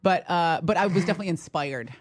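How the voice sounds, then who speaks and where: A man who is a comedian, a podcast guest bantering casually and joking with quick laughs. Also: a little high, dry recording, studio quality. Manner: jokey, laughs often, animated bursts.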